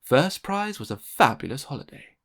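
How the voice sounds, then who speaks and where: A man narrating a children's storybook aloud in an expressive read-aloud style. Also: on the high side, moderate pace. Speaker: storybook reader.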